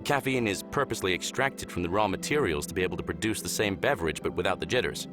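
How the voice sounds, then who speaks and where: A man's voice: sits low, expressive, quick pace. A man voicing a friendly, chatty, knowledgeable crew member in a visual novel, with articulate explanations. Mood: friendly, informative. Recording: music playing behind.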